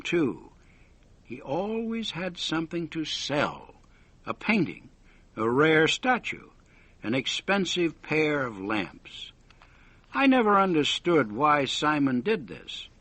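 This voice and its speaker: Slow, expressive male storyteller. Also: fairly high pitch, no echo. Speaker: story narrator. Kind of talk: scripted narration for English learners.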